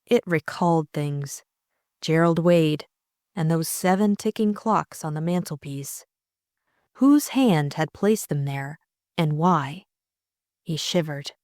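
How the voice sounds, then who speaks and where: A woman's voice: sits low, lively intonation, slow pace, long pauses, a little mellow, dry recording, studio quality. A woman reading a novel aloud as scripted audiobook narration.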